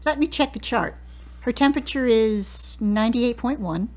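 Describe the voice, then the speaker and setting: Actress as a high-voiced kindly nurse. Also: female voice, lively intonation, normal speed. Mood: helpful. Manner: gentle, practical. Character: matter-of-fact, kindly hospital nurse. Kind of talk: audio drama acting.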